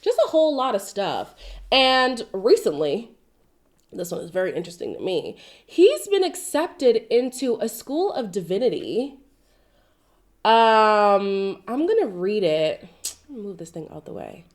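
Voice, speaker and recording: A woman from Zimbabwe who is sick, hosting a casual pop-culture commentary show on her own, chatty and expressive, with side tangents. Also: medium pitch, measured pace. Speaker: commentary channel host.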